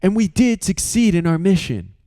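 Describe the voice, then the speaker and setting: A man voicing a steady male peacemaker calming a tense group in an audiobook reading. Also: high voice, normal speed, no room sound, clean studio sound, pitched above the performer's own voice. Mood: placating.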